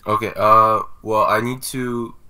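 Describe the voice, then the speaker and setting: A man's voice: lowish voice, level tone, measured pace, pushed and bright. A man on a video call, a prospective client who politely asks questions with small restarts. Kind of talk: business call.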